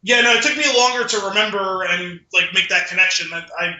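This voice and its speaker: A man with a very bright, forward voice that sits high for a male speaker. He rambles conversationally as a podcast co-host in casual movie chat, recorded in an echoey room. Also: fairly quick, highs cut off. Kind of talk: casual chat about a film.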